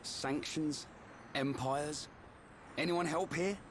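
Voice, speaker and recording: A man playing a good-natured, slow-witted young fighter in a video game, casual and plain-spoken. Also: on the high side, slight background noise. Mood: puzzled. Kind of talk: video game cutscene acting.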